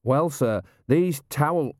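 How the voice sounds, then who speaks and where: A man's voice: fairly high pitch, expressive, takes it slowly, darkish tone, no echo, clean studio sound, pitched above the performer's own voice. Man voicing a humble, hesitant foot soldier. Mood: awkward. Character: hesitant, humble male common soldier on guard.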